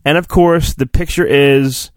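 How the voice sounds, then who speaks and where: A man giving a mock-dramatic storybook reading, theatrical in delivery, dropping into goofy, deadpan, sarcastic asides. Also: a little high, measured pace, no echo, studio quality. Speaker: podcast host.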